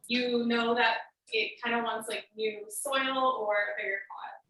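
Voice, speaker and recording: A woman with a fairly high, bright voice gives an instructional speech. She explains step by step in a casual, student-presenter way. The sound is very echoey and a little distant from the microphone. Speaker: student giving a demonstration speech. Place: indoor room on a recorded video meeting.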